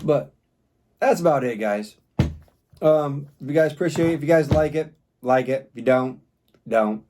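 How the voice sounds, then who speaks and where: A man's voice: on the high side, normal speed. A man giving a casual, unscripted product review in a plain-spoken, rambling, informal way. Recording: clear background noise with clicks.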